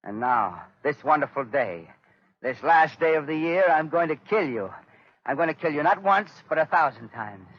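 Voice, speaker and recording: An actor playing a dying, mad inventor who is bitter and vengeful, in a theatrical, grandiose 1930s radio drama style. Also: male voice, on the high side, big pitch swings, normal speed. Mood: bitter, menacing. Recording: dull vintage 1930s radio recording.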